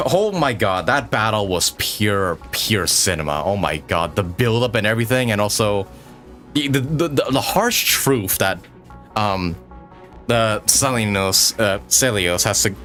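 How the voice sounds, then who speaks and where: Male streamer, young adult. Lowish, lively pitch, excitable casual commentary, halting repeats, light game music. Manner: excitable, sweary, halting repetitions.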